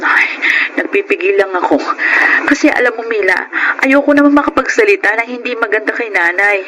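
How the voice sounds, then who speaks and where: An actress with a Filipino accent playing a long-suffering, exasperated young wife in an emotive radio drama. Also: female voice, medium pitch, brisk, a bit bright, a little hiss or hum, lightly acted, rough recording. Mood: weary, hurt. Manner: emotive, complaining.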